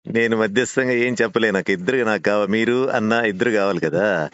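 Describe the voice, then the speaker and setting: A man's voice: lowish voice, fast, fairly bright tone, no echo. A man with a Nellore Telugu accent, speaking deferentially in a casual phone conversation on a dull, lo-fi recording. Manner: deferential, often interjecting short acknowledgements. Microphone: phone call.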